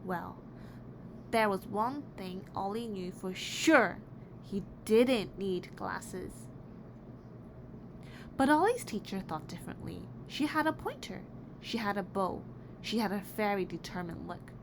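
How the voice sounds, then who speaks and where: A woman reading a children's picture book aloud in an expressive, deliberate storytelling way. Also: medium pitch. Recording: clearly noisy background.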